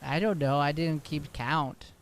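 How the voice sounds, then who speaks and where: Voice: mid-range voice, steady tone, a little slow, natural voice. A performer playing a sulky, wounded little Irish schoolboy in improvised radio comedy, plaintive and defiant. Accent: Irish, put on.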